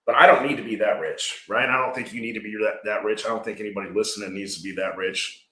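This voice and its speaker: A man's voice: lowish voice, quick pace, pushed and bright, roomy sound. A man, a business owner guest talking casually and earnestly, often checking in with "right?". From Georgia. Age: 40s. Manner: earnest, rambling, frequent "right?". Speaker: landscaping company owner, guest. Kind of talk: casual podcast conversation.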